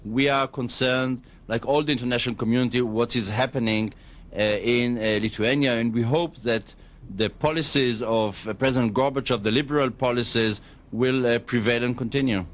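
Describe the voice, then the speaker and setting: A man answering radio interview questions as an official spokesman, in a measured, diplomatic, halting way. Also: medium pitch. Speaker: embassy spokesman, interview guest.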